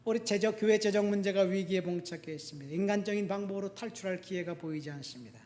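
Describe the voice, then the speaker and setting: A man in his sixties preaching as a pastor, emphatic, moving between forceful declarations and hushed lines. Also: high-pitched, fast. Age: 60s. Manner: repeats key phrases for the congregation to follow. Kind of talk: sermon to a congregation. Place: church sanctuary. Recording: echoey hall with long reverb.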